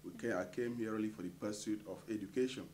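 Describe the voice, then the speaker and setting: A middle-aged man from Cameroon, a community association treasurer, answering interview questions with many hesitant pauses. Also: on the low side, darkish tone, slight background noise.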